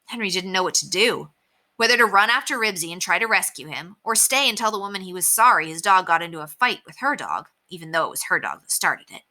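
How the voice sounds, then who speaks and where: Expressive woman reading a children's book aloud. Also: lowish voice, fast. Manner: expressive storytelling with softer narration. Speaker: teacher reading to students.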